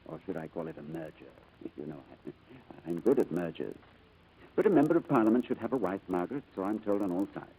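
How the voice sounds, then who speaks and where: An actor playing a reserved, courteous gentleman with a hesitant, formal manner in a 1940s radio drama. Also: low voice, animated, fairly quick, breathy edge, narrow, tinny audio, exaggerated voice. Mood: gentle, pleading. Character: reserved, courteous wealthy English businessman, haunted by lost past. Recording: noisy vintage radio broadcast.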